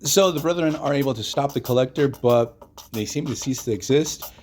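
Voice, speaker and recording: A hoarse man hosting his own channel, retelling a comic story in a loose, chatty way with lots of fillers. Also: mid-range voice, fairly quick, darkish tone, faint music behind. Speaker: comic book channel host. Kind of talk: casual solo video commentary.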